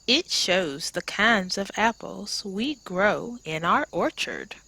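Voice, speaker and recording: Woman reading as a patient, slow-talking farmer. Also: medium pitch, very animated, sharp tone, heavily acted, low-quality recording. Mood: hearty, inviting. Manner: explanatory, encouraging. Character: kindly, patient male farmer teaching children. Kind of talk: children's storybook read-aloud.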